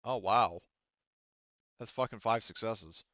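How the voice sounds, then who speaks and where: A man's voice: on the low side, slow pace, bright, forceful tone, no echo, lo-fi sound. A man casually running a tabletop roleplaying game as game master, thinking aloud in an informal way.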